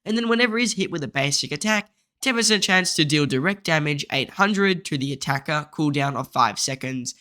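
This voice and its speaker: A man talks through a game guide in a voice-over, his nose blocked so his voice comes out nasal and congested. His tone is bright and a touch high, and he explains things steadily and methodically, point by point. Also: expressive, brisk.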